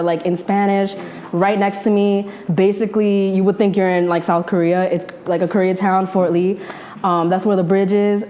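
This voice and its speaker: Chatty young Jersey woman. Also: medium pitch. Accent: New Jersey. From New Jersey. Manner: relaxed slangy talk, many likes and restarts. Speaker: journalist and podcaster guest. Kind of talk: casual interview chat.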